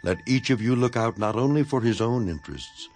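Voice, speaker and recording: An actor playing an earnest, devoted imprisoned apostle in a dramatized scripture reading, speaking in a solemn, measured manner. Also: male voice, medium pitch, expressive, darkish tone, soft background music, lightly acted. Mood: solemn exhortation. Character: Apostle Paul, imprisoned, earnest, devoted elder writing to his flock.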